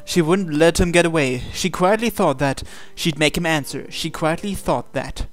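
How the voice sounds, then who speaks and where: Higher-voiced man reading dramatic narration. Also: moderate pace. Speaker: visual novel reader.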